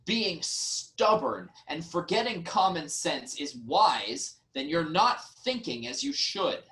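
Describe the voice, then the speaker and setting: A man playing a wronged, reasoning nobleman in a formal, measured reading of ancient Greek tragedy. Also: high voice, big pitch swings, creaky, sharp tone, heavily acted. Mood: reasoning, defensive. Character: wronged, reasoning nobleman, brother-in-law of the king.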